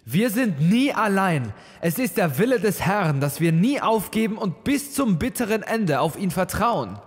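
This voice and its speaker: A man voicing a defiant, fervent bishop with a loud, preacherly delivery, in a horror story reading. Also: high voice, very animated, measured pace, long reverb tail, voice pushed up, heavily acted. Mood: fervent defiance. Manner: thunderous, preacherly, challenging.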